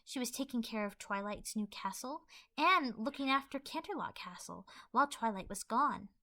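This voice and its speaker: A woman narrates a fan-made audio story from a script. Her voice is high and bright, with a very animated rise and fall. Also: moderate pace.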